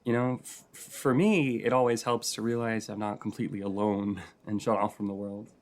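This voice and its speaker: A man playing a shy, sweet writer in an intimate audio roleplay, chatting in a hesitant, rambling, softly flirty way. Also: lowish voice, fairly even intonation, moderate pace, lightly acted. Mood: nervous, earnest. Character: shy, rambly, sweet regular writing in a coffee shop.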